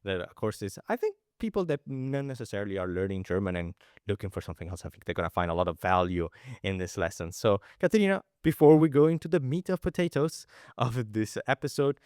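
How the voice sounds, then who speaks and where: A young man from Italy hosts a podcast conversation with a lively, swooping pitch. He talks with eager enthusiasm, rambling through fillers and restarts. The sound is close and dry. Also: quick pace, no echo. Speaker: podcast host. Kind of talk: casual interview chat.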